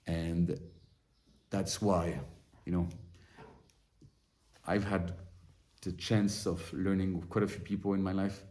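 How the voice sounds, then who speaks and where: A man teaching a study group on a religious text in an informal lesson. He often restarts and repeats words, then turns more animated as he works through a passage. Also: deep voice. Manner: halting, restarts and repeats words while explaining. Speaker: Torah teacher.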